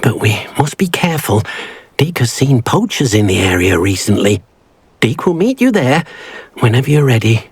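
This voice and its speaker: A man's voice: big pitch swings, normal speed, dry recording, full character voice. An actor voicing a small, timid male house-elf servant in a video game, who talks about himself by name in an eager, fussy way. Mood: worried. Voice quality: husky, high squeaky. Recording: game audio with faint music.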